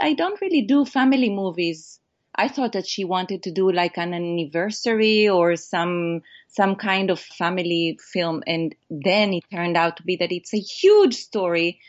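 A woman with an Israeli accent, a documentary filmmaker answering interview questions in earnest, animated storytelling. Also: medium pitch, lo-fi sound.

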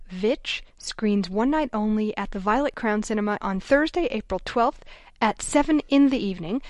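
A woman's voice: on the high side, no echo. Dry-voiced woman radio host. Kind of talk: radio interview.

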